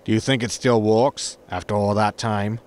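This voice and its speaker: A man's voice: on the low side, fairly even intonation, moderate pace, darkish tone, lightly acted. A man playing an exasperated, sarcastic spaceship captain in a science fiction comedy audio drama. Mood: wry. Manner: dry, sarcastic.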